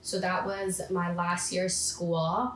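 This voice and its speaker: A woman in her twenties tells her story casually and acts out her own past self-talk. Her voice sits a little low for a woman. The room is echoey, with a faint hiss underneath. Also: flat delivery, takes it slowly. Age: 20s. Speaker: podcast guest.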